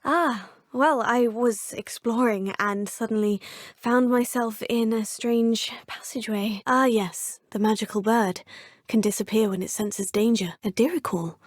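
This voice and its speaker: A woman's voice: fairly high pitch, measured pace, no room sound, studio quality. A polite young witch student. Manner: polite and formal, addressing teachers as sir and professor. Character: polite young female Hogwarts fifth-year student witch. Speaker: player character. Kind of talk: video game voice lines. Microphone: close studio mic.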